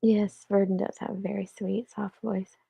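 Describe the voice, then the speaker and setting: A woman in her forties, congested and a little rough-voiced, chatting casually with her live chat and laughing often. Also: medium pitch, flat delivery, moderate pace, warm tone, no echo. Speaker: livestream host.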